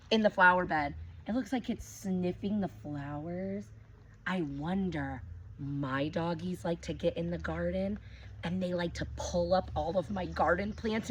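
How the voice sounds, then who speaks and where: A woman teacher reading a picture book aloud to young children, expressive, stopping to ask them questions. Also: lowish voice, slight background noise, rough recording.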